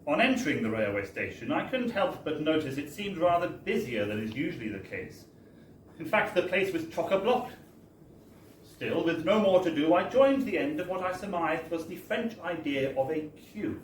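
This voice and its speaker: A British man with a slightly high voice reads a wry comic tale to a live room. His delivery is chatty and theatrical, like an anecdote told in the first person. The recording is echoey and distant, with faint noise. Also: big pitch swings, normal speed. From Britain. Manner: chatty, wry, theatrical storytelling with tag-on phrases. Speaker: reader at a book launch. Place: bar, live event.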